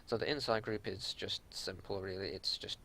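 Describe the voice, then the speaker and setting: A man giving unscripted gameplay commentary as a raid leader, explaining tactics in a matter-of-fact way over a home recording with some low rumbling noise. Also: steady tone, moderate pace, creaky, sharp tone.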